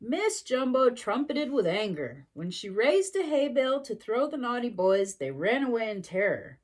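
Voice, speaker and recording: A woman's voice: fairly high pitch, big pitch swings, normal speed. A woman reading a storybook to young children expressively, adding sympathetic little asides.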